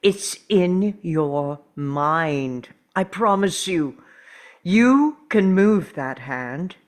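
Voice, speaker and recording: An actor in a script table read playing an urgent, stubborn, protective time traveller, speaking earnestly and deliberately. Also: medium pitch, animated. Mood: intense, urgent.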